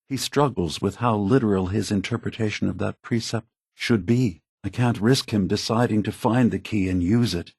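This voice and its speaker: An actor voicing a remorseful, idealistic synth leader in a video game, speaking in a grave, measured and deliberate way. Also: male voice, on the low side, darkish tone, breathy edge, dry recording, clean studio sound. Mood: haunted, sorrowful. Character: solemn, remorseful male synth android leader, idealistic and weary.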